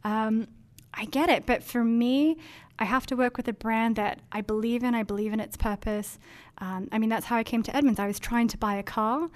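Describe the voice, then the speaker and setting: A woman's voice: a little high, fairly even intonation, normal speed. A woman from Denmark answering interview questions about marketing in a chatty, earnest way, with many ums and likes. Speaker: marketing executive.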